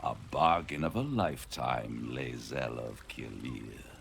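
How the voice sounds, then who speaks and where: An actor playing a suave, scheming devil in a video game, speaking in a silky, grandiose, theatrical manner. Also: male voice, extremely low pitch, animated, slow, creaky, background noise, heavily acted. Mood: gloating, sly. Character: suave, scheming male devil offering bargains. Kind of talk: video game cutscene acting.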